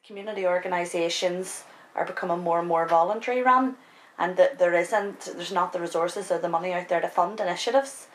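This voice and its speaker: Low-voiced woman explaining. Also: a little fast, a little hiss or hum, low-quality recording. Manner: explanatory, with hesitant restarts. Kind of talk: documentary interview.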